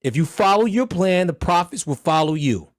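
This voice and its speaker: A man leads a livestreamed trading mentorship, and his voice is hoarse as he recovers from an illness. His pitch sits a bit high, and the recording is dry and close. He talks bluntly and loudly, with slang, pushing his listeners like a coach. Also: expressive, moderate pace, no room sound. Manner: loud, blunt, motivational, slangy.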